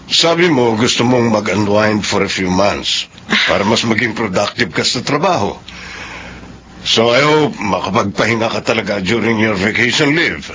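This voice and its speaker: A bright, friendly boss. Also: male voice, lowish voice, animated, normal speed. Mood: reassuring. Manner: friendly, reassuring. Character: kind, supportive company boss. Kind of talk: radio drama acting. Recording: dull lo-fi radio recording, faint hiss.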